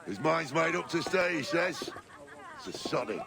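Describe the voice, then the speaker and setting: An actor playing a bitter, contemptuous pirate with a gravelly voice in a video game cutscene. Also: male voice, a little high, animated, normal speed, a crowd in the background. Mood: scornful. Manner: contemptuous, cursing. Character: scornful, bitter male pirate rival.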